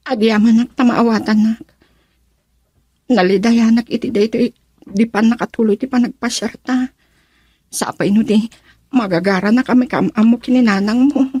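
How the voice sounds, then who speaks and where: An actress with a Filipino accent playing a devoted young daughter and sweetheart in a radio drama, expressive and earnest. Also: female voice, fairly high pitch, moderate pace, pauses often, breathy edge, no room sound. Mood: disappointed.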